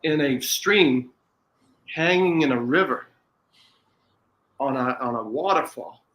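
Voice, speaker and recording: An American man chatting casually as a guest on a podcast. He talks at a middling pitch, rambling along, often stopping and restarting mid-sentence. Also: fairly bright tone, low-quality recording. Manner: rambling, halting, with frequent restarts. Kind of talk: casual chat.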